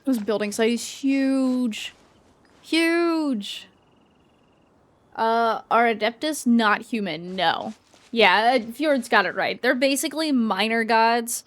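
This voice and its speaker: A woman's voice: fairly high pitch, slow pace. A young woman streamer giving casual gameplay commentary in informal, rambling asides. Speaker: game streamer.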